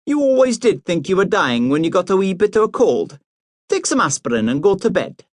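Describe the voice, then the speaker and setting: A man reading aloud and voicing a busy mother, brisk and coaxing. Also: high voice, sing-song, no echo, clean studio sound, voice pushed up. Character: Scottish middle-aged mother, busy and brisk.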